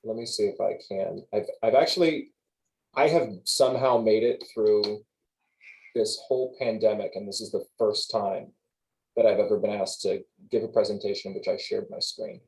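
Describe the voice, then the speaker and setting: A man's voice: fairly low pitch, moderate pace, darkish tone, low-quality recording. A man speaking as a prosecutor in a video call presentation, hesitant and restarting his sentences often. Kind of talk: webinar presentation.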